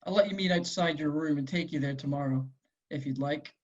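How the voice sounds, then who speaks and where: A performer reading the part of a friendly, gently teasing man in his late twenties, with a husky voice, at a script table read. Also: mid-range voice, brisk, highs cut off, natural voice.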